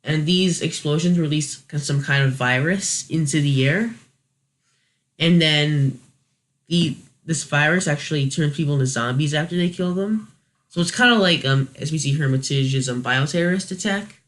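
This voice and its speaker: Guy with a slightly high voice, casual video host, kinda hesitant, works stuff out as he talks. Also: moderate pace, a bit bright. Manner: hesitant, thinking aloud, self-correcting. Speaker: host of a video review series. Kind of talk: casual unscripted commentary, rating scenarios.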